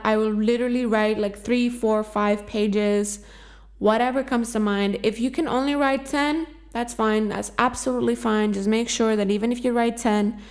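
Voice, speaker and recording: A woman's voice: a little high, darkish tone. A woman whose first language is Greek, hosting a self-help podcast. She gives earnest, encouraging instructions and often repeats herself. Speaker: podcast host.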